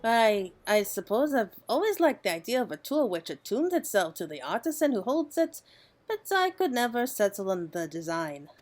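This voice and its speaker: A woman's voice: mid-range voice, big pitch swings, normal speed, close to an everyday voice. A woman voicing a modest, earnest apprentice crafter, polite and self-effacing, in a fantasy game. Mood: modest, hesitant.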